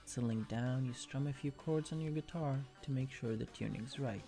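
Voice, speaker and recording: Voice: medium pitch, moderate pace, dark tone, faint music behind. A narrator reading second-person fan fiction as scripted storytelling.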